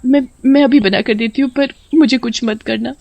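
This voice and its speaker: A woman voicing a timid, kindly village tea seller with a hesitant, pleading manner in an animated story. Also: a little high, moderate pace, gravelly, dry recording, dull audio, voice pushed up. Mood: frightened.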